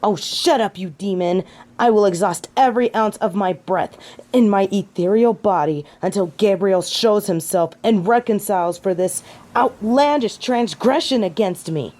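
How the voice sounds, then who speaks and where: A woman's voice: medium pitch, measured pace, a little mellow, close to an everyday voice. A woman voicing a haughty, wrathful fallen angel of judgement in a dramatic audio roleplay, speaking in an imperious, formal, archaic way. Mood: indignant, haughty.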